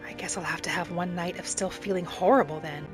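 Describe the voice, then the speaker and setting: A woman voicing a gentle, adventurous female wood elf traveller in a video game roleplay, musing softly aloud in character over music. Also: fairly low pitch, fairly even intonation, a little fast, natural voice. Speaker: video game streamer role-playing.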